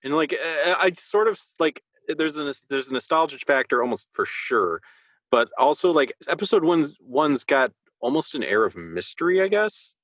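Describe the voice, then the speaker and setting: A man's voice: on the high side, sing-song, normal speed, fairly bright tone, dry recording. A man co-hosting a podcast, chatting casually about a video game and rephrasing as he thinks aloud. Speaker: podcast co-host.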